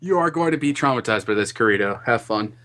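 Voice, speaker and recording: A man's voice: mid-range voice, expressive, fast talker, a bit bright. A man co-hosting a podcast, joking and reading fan fiction aloud with amused mock dismay. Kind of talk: joking banter and read-aloud.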